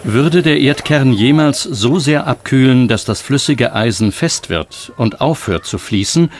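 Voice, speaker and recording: A man reading scripted documentary narration in a measured, explanatory manner. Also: medium pitch, animated, a little hiss or hum. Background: background music.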